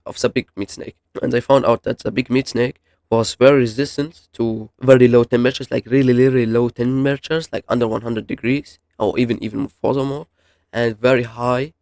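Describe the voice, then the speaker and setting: Man, casual explainer, halting and rambling, creaky voice, dry close sound. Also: medium pitch, a little mellow, dry recording. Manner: halting, rambling, self-correcting. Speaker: YouTube host reviewing horror videos. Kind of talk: casual unscripted explainer commentary.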